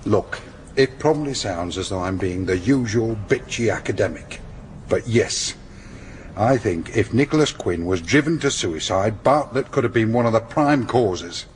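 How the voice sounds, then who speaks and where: Actor as an opinionated, articulate university academic, noisy backdrop. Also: male voice, fairly low pitch, expressive, normal speed, natural voice. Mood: explaining. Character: opinionated male Oxford academic from Yorkshire. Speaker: university lecturer being questioned. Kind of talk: naturalistic radio drama acting.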